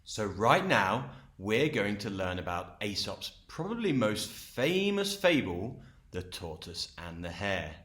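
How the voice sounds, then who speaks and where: A man reading a children's story aloud as host and storyteller, in an expressive, explanatory way. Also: lowish voice, slow, husky.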